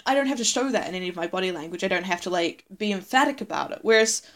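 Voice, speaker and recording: A young woman with a New Zealand accent, co-hosting a podcast in casual chat, animated and laughing often. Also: medium pitch, rapid-fire. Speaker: podcast co-host.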